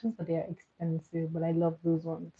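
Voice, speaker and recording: A woman's voice: sits low, completely flat delivery, brisk, slightly rough. A woman from eastern Nigeria with a Nigerian accent, whose first language is Igbo, chatting casually and animatedly with live viewers, with lots of filler words and laughter. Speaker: livestream host. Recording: thin phone-like audio.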